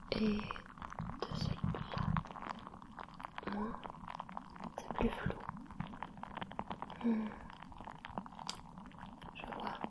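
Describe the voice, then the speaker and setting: A woman whispering as a gentle mermaid. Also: on the high side, poor audio. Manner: soft whispering, slow and soothing; playful, curious, reassuring. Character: gentle caring female mermaid of the deep. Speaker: ASMR creator. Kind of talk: ASMR roleplay.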